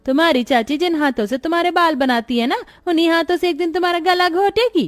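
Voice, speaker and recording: A woman's voice: very high voice, quick pace. A woman voicing a sly neighbor aunt who turns children against their carer in a cartoon story. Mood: scheming. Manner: sly, coaxing. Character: gossiping scheming neighbor aunt.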